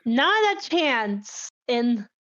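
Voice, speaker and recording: A woman's voice: fairly high pitch, sing-song, very slow, fairly bright tone. A woman chatting casually as a player in a tabletop roleplaying game, on a slightly dull recording.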